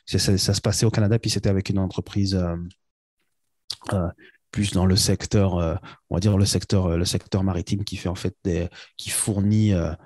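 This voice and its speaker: A man's voice: sits low, steady tone, normal speed, darkish tone, no echo, clean studio sound. A man from Belgium, a consultant guest in an interview, talking casually and hesitantly with many fillers and restarts.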